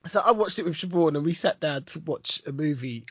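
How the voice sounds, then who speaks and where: A man co-hosts a podcast, with a high, lively voice that has a slight husk to it. He chats loosely and slips into little acted-out scenes while telling stories. The recording is dry and close. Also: a little fast, husky, no room sound. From London. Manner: expressive, acts out little scenes in his stories. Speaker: podcast co-host. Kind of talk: casual chat.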